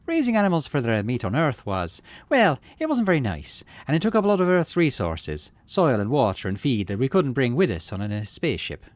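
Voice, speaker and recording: Man reading as kindly, practical aunt, animated delivery. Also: mid-range voice, brisk, few pauses, dry recording, pitched above the performer's own voice. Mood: explaining gently. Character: kindly, practical aunt running a colony kitchen. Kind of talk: game dialogue read aloud.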